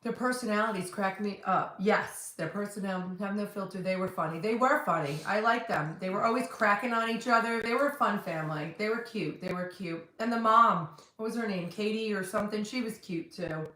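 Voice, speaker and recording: A woman's voice: mid-range voice, a little fast, few pauses, sharp tone, reverberant, distant mic, poor audio. A woman from New Jersey with a New Jersey accent, talking casually and bluntly as a podcast co-host. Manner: chatty, blunt, rambling. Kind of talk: casual chat.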